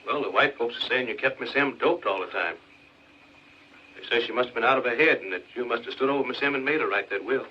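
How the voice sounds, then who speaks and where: Actor as a folksy Southern neighbour, muffled and distant. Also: male voice, mid-range voice, quick pace, bright, forceful tone, lightly acted. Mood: warning. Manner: folksy dialect speech. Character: neighbourly Southern man bringing gossip and veiled warnings. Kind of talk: 1940s film drama acting. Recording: muffled, hissy 1940s film soundtrack, distant.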